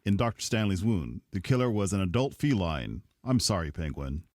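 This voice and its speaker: Guy doing a dutiful radio cop sergeant, kinda deep, dry sound, hesitant and respectful. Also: lively intonation, normal speed, darkish tone, dry recording. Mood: dutiful, apologetic. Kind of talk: radio detective drama acting.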